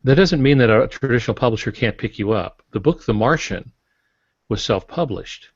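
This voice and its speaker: A man's voice: medium pitch, lively intonation, moderate pace, breathy edge. A man speaking as a guest author on a podcast, explaining things conversationally in an informal, folksy, opinionated way. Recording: roomy, dull lo-fi podcast audio.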